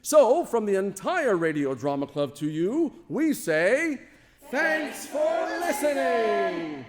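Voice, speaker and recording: A man hosts a radio variety show with showy, theatrical flair. His higher-pitched voice swings widely, drawing out announcements, and rings through a long hall reverb. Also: big pitch swings. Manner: showy, jokey, drawn-out announcing.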